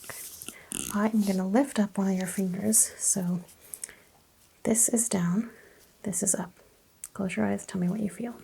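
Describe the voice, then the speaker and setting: A woman role-playing a medical examiner in soft-spoken ASMR, murmuring gentle instructions and often slipping into whispers. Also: medium pitch, fairly even intonation, a little slow, vocal fry, long pauses, breathy edge.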